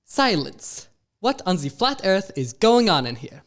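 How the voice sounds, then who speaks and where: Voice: medium pitch, sing-song, unhurried. Someone playing a stern, commanding official. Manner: curt, commanding. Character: stern male head of science, dismissive.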